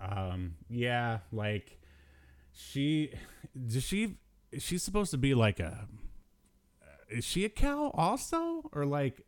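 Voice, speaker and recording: A man hosting a podcast, chatting casually about retro cartoons in a hesitant, halting way. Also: mid-range voice, wildly swooping pitch, clear, resonant tone. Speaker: podcast host.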